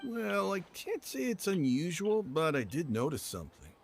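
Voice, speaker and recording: Offhand gossipy npc, lively swingy voice. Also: male voice, fairly high pitch, normal speed, creaky, slight background noise, full character voice. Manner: offhand and chatty. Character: offhand male townsman, gossipy and shrugging. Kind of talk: game character dialogue.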